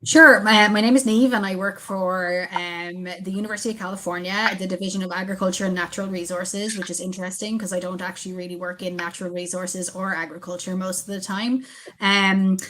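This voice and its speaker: A woman's voice: mid-range voice, fairly even intonation, a little fast, background noise. A woman from Ireland with an Irish accent, a guest researcher talking frankly and with jokes, full of ums. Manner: frank, joking, many ums. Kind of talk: casual interview talk.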